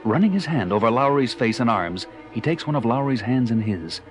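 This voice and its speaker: A man's voice: mid-range voice, expressive, brisk. A man narrating an audio drama with dramatic, scripted delivery. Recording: loud music behind the voice.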